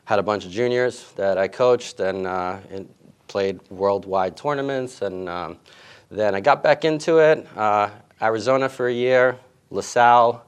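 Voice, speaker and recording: A middle-aged man, a head tennis coach, answering interview questions in a relaxed way with many fillers. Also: fairly low pitch, measured pace, smooth. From grew up in Italy and Queens, New York.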